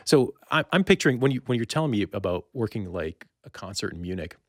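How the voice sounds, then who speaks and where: Low-voiced man interviewing casually, dry recording. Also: expressive, no room sound. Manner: exploratory, halting, rambling questions. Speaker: podcast interviewer.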